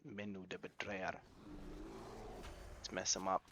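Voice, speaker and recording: A man's voice: low voice, monotone, unhurried, background noise. A man with an American accent giving chatty, rambling gameplay commentary and often correcting himself. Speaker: gaming YouTuber.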